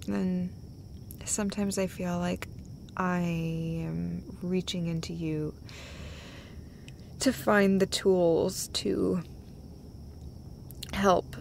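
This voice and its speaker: A woman playing a tender, emotional, self-deprecating girlfriend in an intimate romantic audio roleplay, speaking in halting, breathy phrases. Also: mid-range voice, darkish tone, slight background noise. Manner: halting, breathy phrases broken by small laughs.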